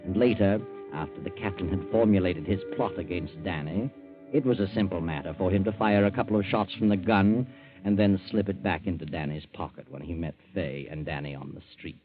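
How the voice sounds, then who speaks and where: An actor playing a sinister, sardonic American mystery narrator, deliberate and knowing, in 1940s radio style. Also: male voice, sits low, natural voice. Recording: tinny 1940s radio with music.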